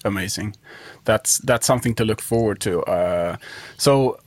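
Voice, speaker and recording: A man's voice: fairly low pitch, measured pace, dry recording. A man hosting a casual interview, thanking his guest and asking questions, sometimes stumbling as he starts. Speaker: podcast host.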